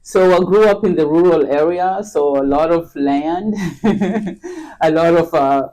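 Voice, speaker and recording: A middle-aged woman from Kenya, a podcast guest talking in an unhurried, casual way, with lots of fillers and restarts. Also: deep voice, steady tone, mellow, low-quality recording. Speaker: podcast guest, real estate agent. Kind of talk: casual interview chat.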